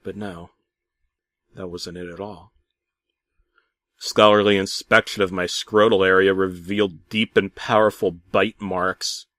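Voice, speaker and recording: A man narrating a comic first-person horror tale as a storyteller, theatrically shifting between hushed asides and loud exclamations. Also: low voice, level tone, unhurried, pauses often, dry recording, clean studio sound. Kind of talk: dramatic first-person creepypasta narration, comedic.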